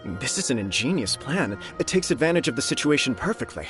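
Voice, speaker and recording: Earnest, lively-pitched male officer. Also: brisk. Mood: attentive, impressed. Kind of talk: English video game voice acting. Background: faint background music.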